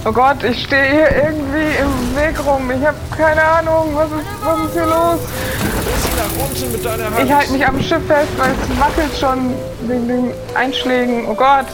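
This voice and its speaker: A woman's voice: sits high, steady tone, a little slow, poor audio. A woman improvising as a player in an audio adventure, speaking in character and describing what she does. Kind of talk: improvised in-character role play.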